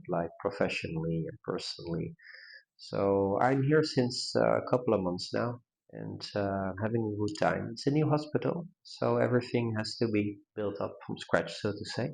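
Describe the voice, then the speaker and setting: Gravelly Dutch-accented man, low voice. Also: a little mellow, low-quality recording. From Netherlands. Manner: measured, with frequent pauses and restarts. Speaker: neurologist guest. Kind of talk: conversational interview answers.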